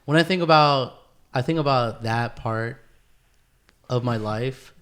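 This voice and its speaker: A male podcast co-host with a middle-pitched voice chats casually. His talk is loose and slangy, full of swearing and buddy-style address. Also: measured pace, a bit bright, clean tone. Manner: profane, slangy, peppered with "bro" and "dude".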